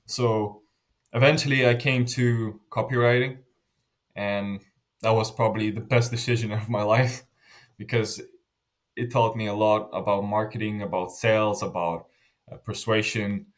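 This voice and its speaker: A man in his twenties from Lithuania, answering interview questions earnestly, often restarting his sentences and trailing off softly. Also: lowish voice, steady tone, unhurried. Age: 20s. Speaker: interview guest.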